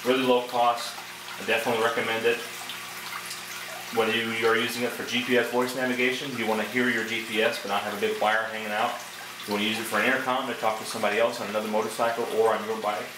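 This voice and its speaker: A man's voice: fairly low pitch, flat delivery, fast talker. A man giving a casual product demonstration and review, explaining things step by step in a conversational way. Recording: echoey, distant mic, clear background noise.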